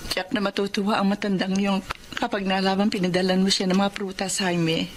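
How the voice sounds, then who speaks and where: An actress playing a kind, devoted middle-aged mother who narrates her own story and speaks gently and earnestly. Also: mid-range voice, level tone, brisk, background noise, natural voice. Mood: caring. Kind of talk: first-person dramatic narration.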